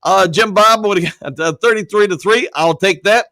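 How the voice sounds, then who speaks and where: A man's voice: high-pitched, a little fast, pushed and bright, no echo. A man hosting a live stream, reading viewers' comments aloud and answering them upbeat, his voice nearly worn out. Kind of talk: casual live-stream chat.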